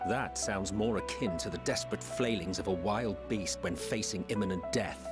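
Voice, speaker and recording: An actor playing an inquisitive, sharp-minded fantasy game scholar with formal, theatrical phrasing. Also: male voice, lowish voice, moderate pace, loud music behind the voice, natural voice. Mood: grave, skeptical. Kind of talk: fantasy video game acting.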